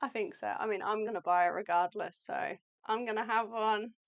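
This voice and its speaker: A woman co-hosting an art livestream in a chatty, upbeat, rambling way, her voice going slightly hoarse. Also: mid-range voice, fairly even intonation, moderate pace, lo-fi sound. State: losing her voice. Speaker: livestream co-host, artist. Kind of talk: casual live chat.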